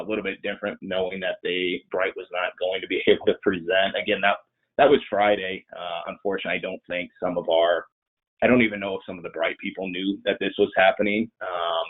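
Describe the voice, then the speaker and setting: A man's voice: low voice, steady tone, fairly quick. A man presenting and taking questions in an informal webinar, conversational and rambling, sounding nasal and congested with a cold. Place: online presentation. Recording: muffled band-limited online webinar audio.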